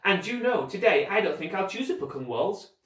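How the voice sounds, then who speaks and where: An earnest, bookish young boy in a storybook, read by a man. He sounds thoughtful and worried, choosing words hesitantly, with lively pitch in a roomy space. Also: fairly quick, recorded from a distance. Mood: resolved.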